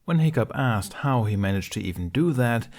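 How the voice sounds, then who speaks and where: A man's voice: mid-range voice, expressive, moderate pace, darkish tone. A man reading scripted fiction aloud as an amateur audiobook narrator.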